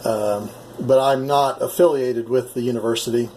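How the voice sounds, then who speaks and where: A middle-aged American man chatting casually as an amateur radio operator, explaining things in a measured, halting way. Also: mid-range voice, steady tone, a little mellow, a little hiss or hum, poor audio. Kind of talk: casual ham radio conversation.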